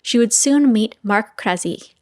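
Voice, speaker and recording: A woman reporter reading a scripted news feature narration in an even, measured way. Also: on the high side, dry recording, studio quality.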